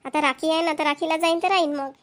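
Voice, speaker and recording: A high, quick voice of a woman acting as a young village daughter-in-law in a homemade cartoon comedy. She is dutiful and bashful, with a Varhadi Marathi accent. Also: steady tone, close to an everyday voice. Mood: dutiful, earnest.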